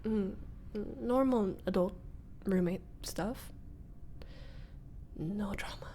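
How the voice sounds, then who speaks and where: A woman's voice: medium pitch, slow, warm tone, a little hiss or hum, close to an everyday voice. A woman voicing a flustered young former bully in a scripted roleplay audio, hesitant and wry. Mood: wry, musing. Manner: hesitant, sighing, nervous laughs, wry muttering.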